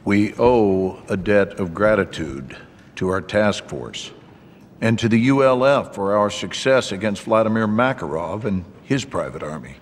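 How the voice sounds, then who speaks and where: Casting: commanding US general, American accent, gruff and low, in a reverberant game cutscene. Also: male voice, lively intonation, measured pace, noisy background. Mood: formal, measured. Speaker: military commander. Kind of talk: cinematic military game dialogue. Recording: long hall reverb, loud music behind.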